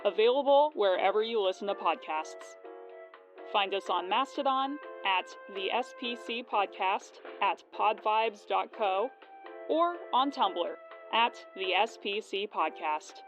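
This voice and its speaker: A woman's voice: mid-range voice, animated, a little slow. A woman podcast host reading scripted promotional narration and credits. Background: music.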